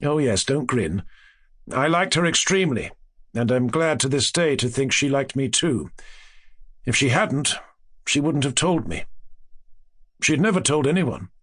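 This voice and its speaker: A man voicing a grave, haunted gentleman who tells his ghost story with hesitant, solemn deliberation, in an audiobook. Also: medium pitch, animated, measured pace, long pauses, breathy edge. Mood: wistful, earnest. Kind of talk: acted dialogue in classic ghost story audiobook.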